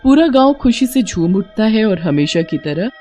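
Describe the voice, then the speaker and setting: A woman's voice: medium pitch, big pitch swings, moderate pace, warm tone, soft background music. A woman narrator reading a scripted horror story for a cartoon.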